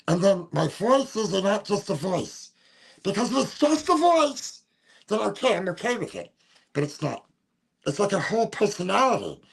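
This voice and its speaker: A man with a deep, gravelly voice, rambling through a casual monologue as host of a smoke session and wandering from one topic to the next. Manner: rambling, wanders off topic, breaks into off-key singing.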